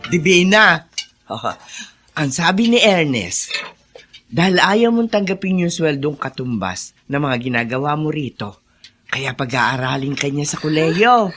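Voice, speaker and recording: Low, animated older housekeeper. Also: female voice, measured pace, exaggerated voice. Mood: gentle, encouraging. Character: kindly older female plantation housekeeper, chatty and encouraging. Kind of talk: Filipino radio drama acting.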